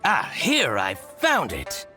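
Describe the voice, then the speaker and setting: A man's voice: medium pitch, wildly swooping pitch, slow pace, bright, forceful tone, full character voice. An actor playing a gossipy, vindictive man in a video game, theatrical and exaggerated, drawing out words in a singsong way. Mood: smug, indignant. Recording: prominent background music under the voice.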